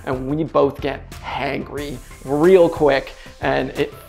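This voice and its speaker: A man's voice: medium pitch, steady tone, slow pace, creaky, a little mellow, soft background music. A young man in his twenties from Canada, chatting upbeat to camera in a casual travel vlog, telling stories with lots of fillers, recorded on a lapel mic in a kitchen. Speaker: travel vlogger. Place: indoors in a bright kitchen.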